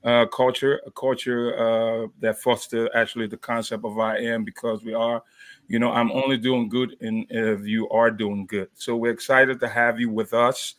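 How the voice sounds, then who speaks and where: A man hosting a podcast interview, talking haltingly with many fillers. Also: mid-range voice, level tone, fairly bright tone. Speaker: podcast host. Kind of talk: interview introduction.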